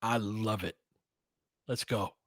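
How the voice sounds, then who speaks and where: A man's voice: on the low side, steady tone, slow, a bit bright, no echo. Man talking basketball, close dry sound. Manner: conversational, opinionated, answering listeners by name. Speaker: host of a basketball audio play-by-play show. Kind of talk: casual live sports discussion with loose play-by-play.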